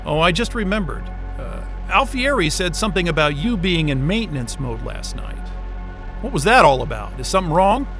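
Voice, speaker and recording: A man's voice: fairly high pitch, sing-song, normal speed, fairly bright tone, faint music behind. A man playing a frustrated, weary, sarcastic astrophysicist in an audio drama, grumbling in a casual, hesitant way. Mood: wary, tired.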